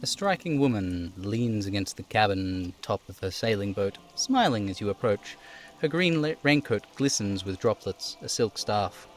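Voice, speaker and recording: Low-voiced man narrating a game live. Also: expressive, moderate pace, faint music behind. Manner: reads prose descriptively, mutters casual asides. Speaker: streamer.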